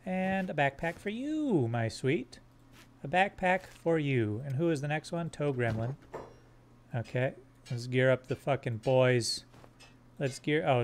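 A man streaming a game live, joking crudely with his chat in a fairly monotone voice while sorting his squad's gear. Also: medium pitch, slight background noise. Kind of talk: live gameplay commentary.

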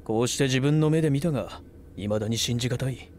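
An actor playing a measured, authoritative senior federation officer in a game cutscene. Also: male voice, mid-range voice, darkish tone, slight background noise, lightly acted.

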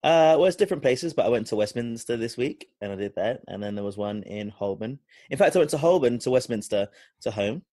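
A man's voice: medium pitch, lively intonation, fairly quick, dark tone, dry recording, studio quality. A man co-hosting a podcast, teasing his friend in casual chat. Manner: teasing, chatty. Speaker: podcast co-host.

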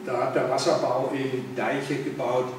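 A man's voice: medium pitch, level tone, normal speed. An older man with a Berlin accent giving a public lecture in a large room, talking conversationally with frequent fillers and asides to his listeners. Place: large indoor meeting room. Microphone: far camera, no mic. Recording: echoey room, distant camera, noisy.

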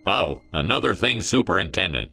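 A scheming principal with a harsh, wet voice who talks in slow, ominous tones, as a man puts him on. His low voice has a gravelly, sharp edge. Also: big pitch swings, soft background music, full character voice. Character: sinister scheming male school principal.

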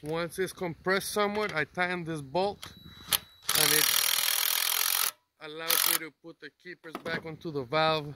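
A fairly high-voiced man talks casually, explaining each step as he works. He is filming on a phone outdoors in a work yard, with clear outdoor noise behind him. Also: fairly even intonation, unhurried. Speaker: mechanic. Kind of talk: casual how-to narration. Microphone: phone camera.

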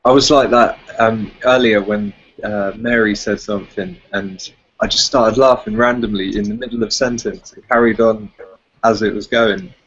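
A man's voice: on the low side, fairly even intonation, moderate pace, fairly bright tone, breathy edge. A man chatting casually on a video call, thoughtful and a little hesitant. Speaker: call participant. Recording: dull lo-fi video call audio.

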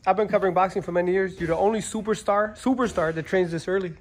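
A man working as a boxing reporter, interviewing in a gym with chatty, quick questions. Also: high voice.

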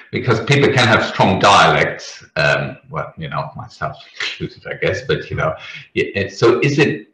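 A man's voice: deep voice, bright, forceful tone. A man in his forties hosting a video-call Q&A from an office, apologetic and reading out audience questions. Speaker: forum host. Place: office with whiteboard. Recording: echoey video-call audio.

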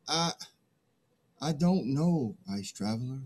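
Weary human male actor: slow, swooping, anguished delivery, halting, for a dramatic play reading. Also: medium pitch, expressive, darkish tone, breathy edge. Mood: weary, doubtful. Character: Man, weary despairing human pleading for help. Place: video call, virtual background.

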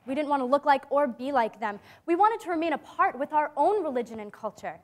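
An earnest, high-voiced teenage girl. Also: expressive. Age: young adult. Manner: measured, earnest delivery. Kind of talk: staged dramatic reading. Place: theatre stage before a seated audience. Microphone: clip-on lapel mic.

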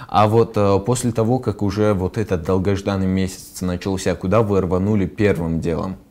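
A young male morning-show host with a very low voice asks his guest questions in a TV studio. His tone is dark and mellow, and his questions come briskly and brightly. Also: fairly even intonation. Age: young adult. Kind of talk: TV studio interview. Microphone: lapel.

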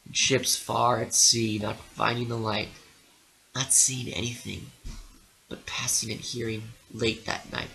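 A man narrating a literary story dramatically, with theatrical, deliberate phrasing. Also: medium pitch, little pitch movement, vocal fry, sharp tone, rough recording.

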